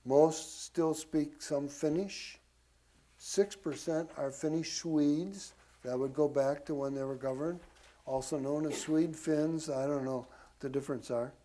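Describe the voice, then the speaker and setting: A man's voice: a little high, fairly even intonation, measured pace, warm tone. A man giving an informal history lecture to a group, in a folksy way with casual asides to his listeners. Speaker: church class teacher.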